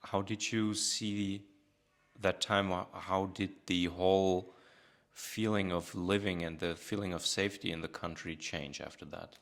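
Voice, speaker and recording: A man's voice: deep voice, steady tone, unhurried, vocal fry, a bit bright. A German man with a German accent, hosting and interviewing on a podcast.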